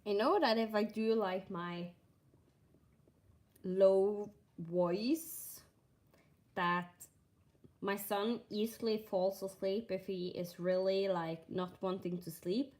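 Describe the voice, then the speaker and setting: A young woman from Norway with a Norwegian accent, chatting casually and softly while hosting a stitching livestream and reading viewer comments aloud. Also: mid-range voice, fairly even intonation. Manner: slow and soft-spoken, reading chat aloud.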